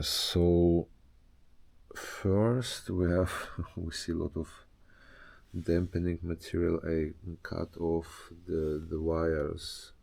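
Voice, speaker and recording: A man's voice: extremely low pitch, gravelly, darkish tone. A man giving a casual, unscripted show-and-tell as a hobbyist presenter, speaking hesitantly and often searching for words.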